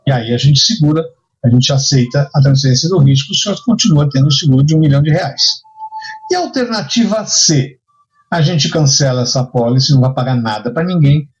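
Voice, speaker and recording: A man's voice: on the high side, brisk, poor audio. A man with a Brazilian accent giving a conversational lecture, teaching didactically with rhetorical questions and frequent check-ins. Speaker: insurance and risk management instructor. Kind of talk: conversational lecture with hypothetical examples.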